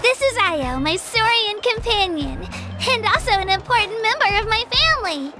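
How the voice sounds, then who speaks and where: Eager, high-pitched young adventurer girl. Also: lively intonation, moderate pace. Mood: cheerful, explaining. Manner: earnest, cheerful, chatty. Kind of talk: video game voice acting. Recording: music behind the voice.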